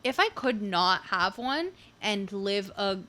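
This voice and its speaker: A woman's voice: medium pitch, lively intonation, slow, bright, forceful tone, a little hiss or hum. A young adult woman, a comedian guest on a podcast, chatting casually and leaning heavily on "like" as a filler. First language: not English. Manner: chatty, filler-heavy with frequent "like". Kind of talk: casual chat.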